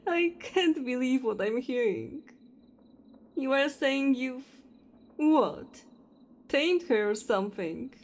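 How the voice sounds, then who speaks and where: Lady acting ruthless vampire hunter woman, audio roleplay, really slow delivery, cold, mocking, full of scorn. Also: high-pitched, lively intonation, darkish tone. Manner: contemptuous, deliberate, taunting.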